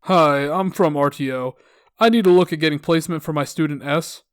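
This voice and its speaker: A man's voice: a little high, a little fast, clear, resonant tone, no echo, clean studio sound, close to an everyday voice. A man voicing an impatient, dismissive male caller who talks curtly in a narrated story. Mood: businesslike. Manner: curt, interrupting.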